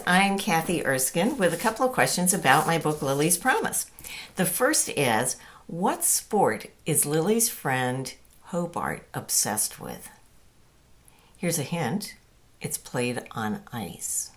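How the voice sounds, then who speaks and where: Low, swooping woman author. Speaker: children's author. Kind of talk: scripted trivia talk to young readers.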